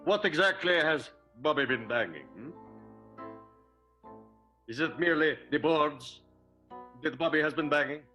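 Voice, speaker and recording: A man performs a pompous husband from the 1920s film world in an improvised radio soap. The voice is bright and forceful, swinging widely in pitch through formal, drawn-out declarations of hurt dignity. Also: lively intonation, soft background music. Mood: wounded, grandiose. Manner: grandiose, formal, drawn-out deliberate phrasing.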